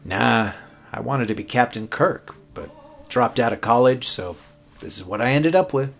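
An actor playing an awkward, self-deprecating young rookie late-night DJ in an audio drama, hesitant and joking. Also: male voice, medium pitch, normal speed, creaky, close to an everyday voice. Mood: wry, casual. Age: young adult. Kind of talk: naturalistic horror audio drama acting.

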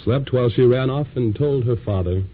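A faintly noisy, thin old radio recording. A man plays a solemn storyteller with a medium-pitched voice, narrating with dramatic urgency in scripted phrases. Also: brisk, phone-quality sound, natural voice. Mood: urgent, grave.

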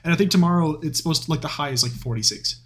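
A young man, co-hosting a podcast in casual chat, animated, laughing often and swearing. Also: a little high, rapid-fire. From Texas. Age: 20s. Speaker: podcast co-host.

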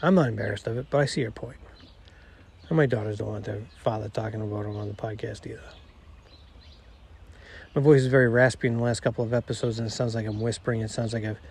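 A middle-aged man with a nasal voice hosting a podcast on his own, rambling and self-deprecating, talking quietly outdoors. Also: fairly low pitch, steady tone, quick pace, creaky, long pauses, slightly rough. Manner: quiet, not projecting. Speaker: podcast host. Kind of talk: casual solo monologue.

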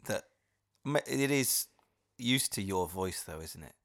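A man's voice: deep voice, expressive, a little slow, fairly bright tone. A man from the Cardiff area co-hosting a casual chat, cracking jokes and puns. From Cardiff area, Wales. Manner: joking, wordplay. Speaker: podcast co-host. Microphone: headphones on, studio mic.

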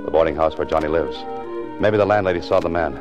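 American noir sleuth, bottom-register voice, terse and self-questioning; old muffled radio drama sound. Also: male voice, very deep voice, quick pace. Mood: brooding. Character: hard-boiled male author-detective, brooding, determined, narrating his case. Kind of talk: 1940s radio acting, noir narration. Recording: muffled 1940s radio recording, music behind.

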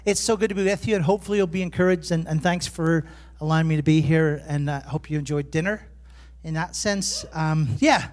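A man's voice: a little high, a little fast. An Irish man preaching as a pastor, chatty and self-deprecating, telling humorous personal stories in his sermon. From Ireland. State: jet lagged.

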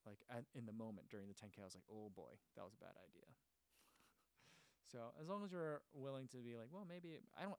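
A man's voice: fairly low pitch, gravelly, clean tone, no room sound. A man hosting a running channel, casually chatting and reading viewer comments aloud, rambling with lots of fillers and restarts. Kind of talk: casual livestream chat.

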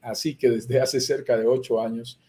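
A man's voice: medium pitch, little pitch movement, moderate pace, warm tone. Enthusiastic Colombian man hosting a live webinar. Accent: Colombian Spanish. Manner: enthusiastic, persuasive, addresses viewers directly. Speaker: live webinar host for real estate investing. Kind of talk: live-streamed sales webinar. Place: indoors in Bogotá.

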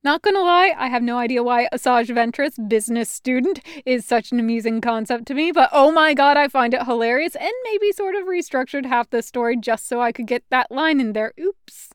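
A woman reading fan fiction aloud as an expressive storyteller. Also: high voice, brisk, few pauses, smooth, no echo, studio quality. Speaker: fan fiction podcast reader.

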